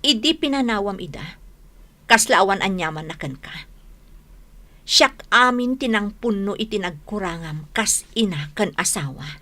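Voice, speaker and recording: A scornful, taunting new wife. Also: female voice, medium pitch, animated, measured pace, bright, forceful tone. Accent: Ilocano. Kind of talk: AM radio drama acting.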